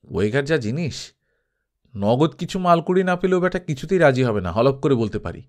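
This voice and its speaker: A man's voice: mid-range voice, big pitch swings, moderate pace, close to an everyday voice. A man voicing a brash, hot-tempered male adventurer, grumbling and defiant, in a dramatised audiobook. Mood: stubborn.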